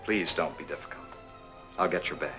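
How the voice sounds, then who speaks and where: An actor playing an earnest, lovestruck young American man, gentle and protective, in a 1940s Hollywood film. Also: extremely low pitch, fairly quick. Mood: gently insistent. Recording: old film soundtrack, music behind.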